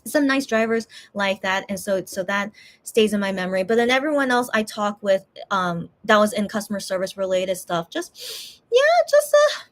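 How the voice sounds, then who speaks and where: A woman's voice: medium pitch, big pitch swings, moderate pace. A woman chatting casually with her viewers, rambling through her thoughts with many fillers and restarts. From USA. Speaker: YouTuber, channel host.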